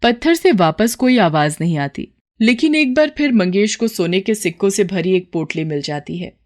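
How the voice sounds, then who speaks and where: A woman's voice: mid-range voice, lively intonation, moderate pace, darkish tone. A woman storyteller reading a scripted moral tale as narration.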